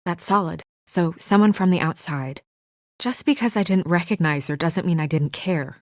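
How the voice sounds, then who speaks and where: A woman voice actor playing an earnest, composed young woman official in a video game, speaking in a measured, serious, restrained way. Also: fairly low pitch, no room sound, clean studio sound, close to an everyday voice. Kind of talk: naturalistic video game dialogue.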